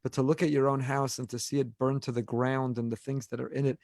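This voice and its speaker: A man in his forties talks quickly and earnestly as a podcast host, hurrying through his thoughts with frequent restarts and repeated words. His voice sits mid-range, recorded close and very dry with no room sound. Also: mid-range voice, little pitch movement, a little mellow, no room sound. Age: 40s. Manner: earnest, quick and talkative, repeats words while building a point. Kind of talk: unscripted podcast discussion.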